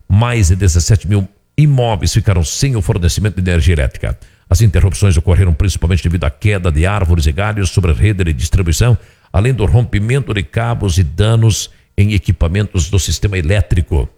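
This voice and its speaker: Deep-voiced newsreader, man. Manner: steady measured newsreading with occasional slips. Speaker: news presenter. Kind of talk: regional radio news reading.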